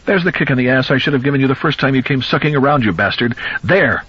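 A man voicing an enraged, grieving old man who hectors and screams, with a husky voice on a dull recording. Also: on the low side, lively intonation, brisk. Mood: frenzied. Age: older. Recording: dull lo-fi audio.

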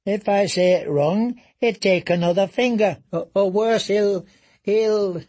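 A man's voice: high-pitched, extremely slow, voice pushed up, full character voice. A man doing a starved, terrified prisoner. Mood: terrified pleading. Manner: whimpering, stammering, begging. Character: starved, broken male prisoner, terrified and pleading.

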